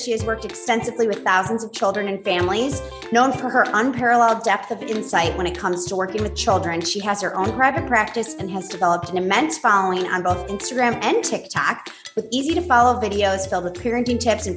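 A woman's voice: medium pitch, a bit bright, roomy sound. A young adult woman hosting a podcast in an upbeat, enthusiastic interviewing manner. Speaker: podcast host. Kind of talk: casual interview.

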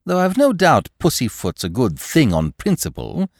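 A man's voice: medium pitch, huge pitch swings, normal speed, no echo, studio quality, pitched above the performer's own voice. A man voicing a flippant, cheerful young aristocrat in an audiobook reading, speaking in a breezy, teasing, sing-song way.

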